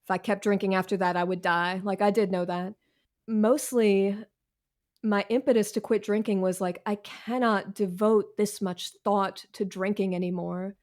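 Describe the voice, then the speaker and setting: A woman's voice: mid-range voice, steady tone, moderate pace, a little mellow. An American woman talking casually as an interview guest, candid, wry and self-deprecating, with slangy phrasing. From Washington, D.C., United States.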